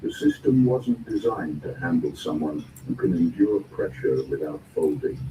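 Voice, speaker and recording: A man delivering a scripted spoken-word motivational narration in deliberate, measured phrases and short emphatic statements. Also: a bass voice. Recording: roomy, distant, clearly noisy recording.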